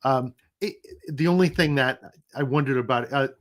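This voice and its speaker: A man's voice: medium pitch, moderate pace. A middle-aged man with a Rhode Island accent hosting a casual live chat, reading out viewer comments as he goes. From New England. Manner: chatty, reads out viewer comments. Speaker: livestream host.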